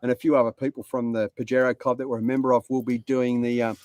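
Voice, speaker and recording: A man's voice: mid-range voice, flat delivery, fast talker, a little mellow, no echo. An Australian man, a guest on a live stream, chatting in a blunt, laid-back way. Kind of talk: casual chat.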